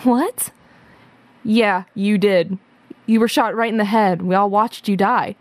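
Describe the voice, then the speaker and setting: Woman as take-charge schoolgirl heroine, blunt and defiant, medium pitch, dry close sound. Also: takes it slowly, darkish tone, no room sound, natural voice. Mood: incredulous. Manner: commanding, blunt. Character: bossy, defiant schoolgirl superhero sister.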